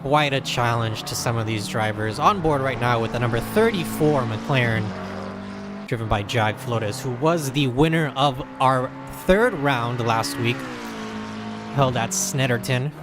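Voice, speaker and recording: A man's voice: medium pitch, measured pace, over loud music. An American man giving casual, chatty commentary on a sim racing qualifying session. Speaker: race commentator. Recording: loud game engine noise behind.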